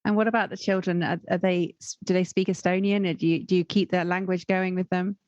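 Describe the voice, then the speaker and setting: A woman's voice: lowish voice, little pitch movement, brisk, a little mellow, no echo, highs cut off. A woman hosting a podcast, asking questions in a conversational interview style. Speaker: podcast host.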